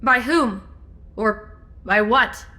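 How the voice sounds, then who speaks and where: A woman's voice: on the high side, extremely slow, bright, forceful tone, exaggerated voice. An actress playing a curious young woman guest in an audio drama, polite, questioning and direct. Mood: curious, wary.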